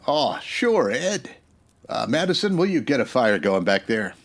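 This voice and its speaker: A man's voice: medium pitch, big pitch swings, a little slow. A man playing an upright, plain-spoken Old West marshal in a radio western, earnest and folksy. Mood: concerned, kindly.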